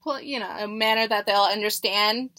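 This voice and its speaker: A young woman job applicant answering phone interview questions in a nervous, halting and hesitant manner. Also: mid-range voice, bright, forceful tone. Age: young adult.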